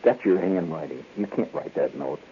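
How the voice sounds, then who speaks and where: A man voicing an excitable, slangy American teenage schoolboy buddy in a hushed, conspiratorial way for a radio story. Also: extremely low pitch, moderate pace, slight background noise, voice pushed down. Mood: scheming. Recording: tinny band-limited old radio broadcast.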